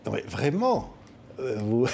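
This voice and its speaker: A man in his fifties hosting a TV studio interview, prompting his guest with lively questions and brief affirmations at a desk microphone. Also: medium pitch, slow, vocal fry, slight background noise. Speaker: TV host. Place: TV news studio.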